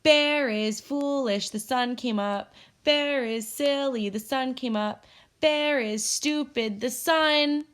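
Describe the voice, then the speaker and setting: Lady doing a chirpy little storybook squirrel, sing-song, high and cheeky. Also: sing-song, slow, full character voice. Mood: teasing.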